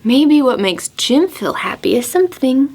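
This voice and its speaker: A woman presenting storytime to preschoolers, asking simple questions and stressing key words deliberately. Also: high-pitched, very animated, darkish tone. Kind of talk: storybook reading and talking to young children.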